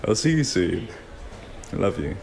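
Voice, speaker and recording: A man acting a calm, everyday airline passenger who takes charge in an audio drama. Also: fairly low pitch, normal speed, creaky, heavy background noise. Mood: fond, weary.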